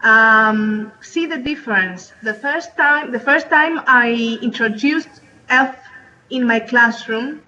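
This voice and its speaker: Woman, high voice, slow and halting, muffled call audio. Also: bright, forceful tone, a little hiss or hum. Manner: hesitant, many fillers and restarts. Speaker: English teacher and researcher. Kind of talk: panel discussion remarks.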